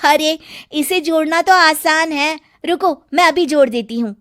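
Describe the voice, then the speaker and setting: A woman's voice: high-pitched, unhurried, dry recording, clean studio sound, pitched above the performer's own voice. A woman voicing a kind, earnest young farmer's daughter in a cartoon story. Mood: curious, helpful.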